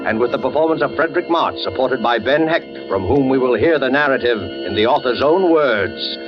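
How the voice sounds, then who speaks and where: A man's voice: medium pitch, lively intonation, normal speed. A man hosting in a formal, portentous 1940s radio announcing style. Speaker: radio show host, announcer. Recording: dull vintage radio broadcast. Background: music.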